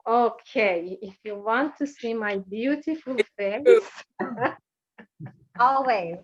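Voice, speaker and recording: A woman greeting a group on a video call in a cheerful, unhurried way. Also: a little high, lively intonation, breathy edge. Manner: cheerful, slow, laughing. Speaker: meeting participant. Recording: dull video call audio.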